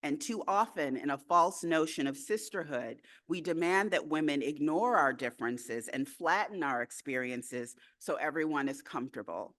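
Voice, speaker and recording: A woman delivering a prepared speech at a breakfast event, in a rhythmic, repetitive style with deliberate pauses. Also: low-pitched, lively intonation, a bit bright. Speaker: speaker at a women's day breakfast.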